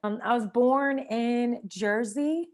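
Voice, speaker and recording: Higher-voiced woman, emphatic. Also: takes it slowly. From Georgia, USA. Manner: emphatic, rhetorical questions. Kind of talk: documentary interview.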